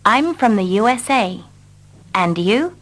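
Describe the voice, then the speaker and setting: A woman repeating short English dialogue lines slowly and carefully for learners. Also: medium pitch, expressive. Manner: carefully repeats each line. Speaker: English teacher. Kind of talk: slow scripted reading for learners.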